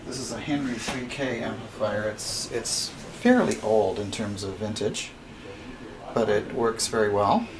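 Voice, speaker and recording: A man gives an informal explanatory walkthrough of a radio station, speaking in a halting, matter-of-fact way. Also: fairly low pitch, fairly even intonation, crowd noise. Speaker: radio club member giving a station tour. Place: indoor amateur radio station room. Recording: roomy, noisy recording, distant microphone.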